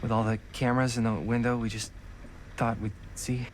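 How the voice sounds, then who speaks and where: A man's voice: medium pitch, level tone, moderate pace, a little hiss or hum, natural voice. A man playing a cautious teenage boy in a video game, joking in an anxious, hesitant way. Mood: apologetic. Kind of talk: naturalistic video game acting.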